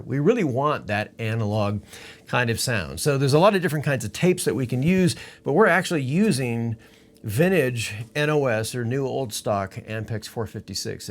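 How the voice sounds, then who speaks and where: A man presenting an audio production tutorial, explaining things step by step. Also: medium pitch. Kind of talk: tutorial narration.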